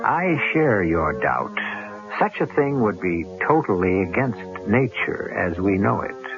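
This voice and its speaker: A man hosting a radio mystery, narrating from a script in a deliberate, portentous manner. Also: lowish voice, big pitch swings. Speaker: host. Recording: dull old radio recording. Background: music.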